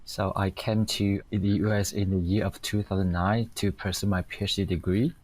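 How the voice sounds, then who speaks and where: A middle-aged man from Taiwan with a Taiwanese accent, giving halting, reflective interview answers as a guest. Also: low-pitched, level tone, darkish tone. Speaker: guest, company founder and engineer.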